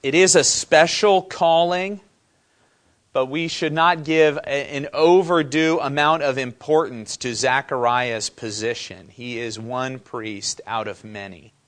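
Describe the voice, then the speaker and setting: A man's voice: medium pitch, fairly even intonation, slow pace, a bit bright. A man from preaching a sermon, often putting questions to the congregation. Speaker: pastor.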